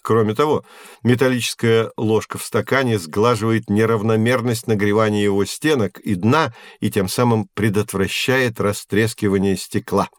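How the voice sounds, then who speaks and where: Man as knowledgeable teacher, lowish voice, measured explanations, patient, dry studio sound, audio play. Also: steady tone, no echo, close to an everyday voice. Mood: friendly, instructive. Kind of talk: scripted educational audio play.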